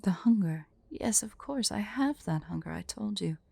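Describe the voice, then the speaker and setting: A woman voicing a proud, wistful dragon in an ASMR roleplay, speaking in a formal, measured way with breathy, hushed drops. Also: medium pitch, lively intonation, no echo. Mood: intense, brooding. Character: proud, wistful female dragon hoarding treasure, tsundere. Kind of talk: ASMR roleplay with slow myth storytelling.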